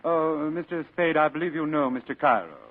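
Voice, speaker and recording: An actor playing a portly, courteous crime boss in 1940s radio style, florid and politely menacing, on a tinny vintage broadcast. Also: male voice, fairly high pitch, a little fast, close to an everyday voice. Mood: smoothly menacing.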